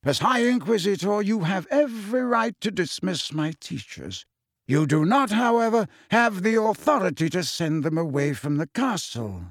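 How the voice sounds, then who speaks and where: A man voicing a calm, courteous old male wizard headmaster who speaks pleasantly but firmly. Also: sits high, moderate pace, dry recording, studio quality, pitched above the performer's own voice, full character voice.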